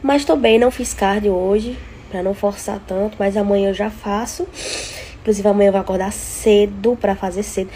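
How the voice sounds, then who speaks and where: A woman's voice: mid-range voice, normal speed, a little hiss or hum. A young Brazilian woman in her twenties chatting casually to her followers through a phone at arm's length, sounding sick with a cold. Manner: chatty, breezy, animated when venting. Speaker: influencer. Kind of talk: casual vlog stories to followers. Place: home living room and kitchen. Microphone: phone held at arm's length.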